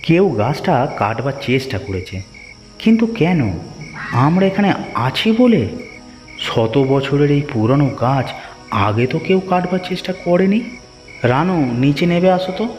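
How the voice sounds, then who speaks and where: A man's voice: a little high, big pitch swings, moderate pace, darkish tone, big-room sound, soft background music. A man voicing a mild, cautious village husband in a Bengali cartoon. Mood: puzzled. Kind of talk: Bengali moral-story cartoon dubbing.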